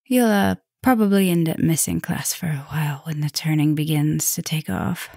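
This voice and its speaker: A woman playing a caring, softly dominant vampire professor in an ASMR roleplay, talking gently and intimately to the listener, with breathy drops toward a whisper. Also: low-pitched, moderate pace, no room sound, studio quality, exaggerated voice. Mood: chatty, casual.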